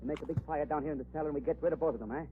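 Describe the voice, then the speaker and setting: Rapid-fire nervous henchman played by a man. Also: a little high, natural voice. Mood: nervous, eager. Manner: anxious, deferential, broken-grammar thug talk. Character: nervous, dim male henchman, eager to obey his boss. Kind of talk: 1940s radio acting. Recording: very noisy, tinny old radio recording.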